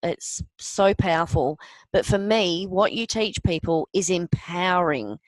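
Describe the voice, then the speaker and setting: A middle-aged woman, a guest giving an earnest personal account, often pausing with ums. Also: on the low side, dry recording, low-quality recording. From Australia. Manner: earnest, halting with many ums. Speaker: interview guest. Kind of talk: personal testimony.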